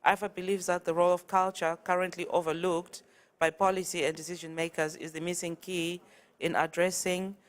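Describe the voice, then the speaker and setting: A tired woman from Zimbabwe speaks earnestly at a conference, in a fairly low voice. She stumbles and restarts a little as she goes. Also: level tone, a little fast. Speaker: conference speaker, artist and curator. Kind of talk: conference talk. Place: conference hall.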